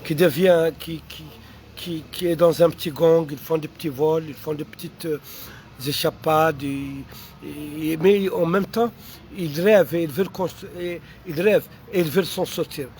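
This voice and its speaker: A Mauritanian writer with an accent, French not his first language, answering audience questions in a halting way with many fillers and restarts. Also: a little high, a little mellow, a little hiss or hum. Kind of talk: unscripted answers to online questions.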